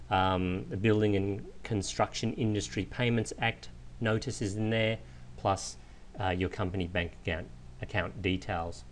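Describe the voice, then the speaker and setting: Low-pitched man narrating a software walkthrough. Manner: methodical, explanatory. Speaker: software trainer. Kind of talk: instructional screen walkthrough narration. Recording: clear steady background noise.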